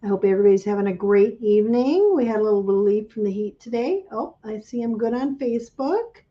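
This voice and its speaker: A woman hosting a casual livestream for her craft business, slightly raspy from a cold and greeting viewers by name. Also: a little high, lively intonation, a little fast, muffled recording.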